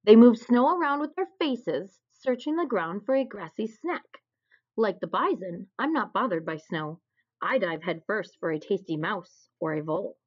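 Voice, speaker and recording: A woman's voice: mid-range voice, animated, normal speed, slightly rough. A woman voicing a wise, thoughtful female fox in a children's storybook. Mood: knowing, instructive. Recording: dull, lo-fi recording.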